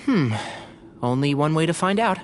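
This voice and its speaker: An actor playing a calm, gently serious physician and scholar in a video game, speaking thoughtfully and politely. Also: male voice, fairly high pitch, normal speed, slight background noise, lightly acted. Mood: polite, curious.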